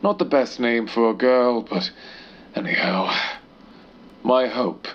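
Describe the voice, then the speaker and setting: A man playing a cold, scheming scientist who dictates secret experiment logs in a measured, disdainful, clinical manner, as an audio horror monologue. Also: medium pitch. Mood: disdainful, calculating. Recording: dull, muffled lo-fi tape-style recording.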